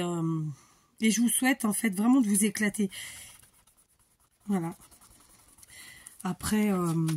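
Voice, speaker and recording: Chatty woman, husky voice. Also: medium pitch, darkish tone. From Paris region, France. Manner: chatty and rambling, many fillers and restarts. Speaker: crafting tutorial host. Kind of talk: casual crafting tutorial talk.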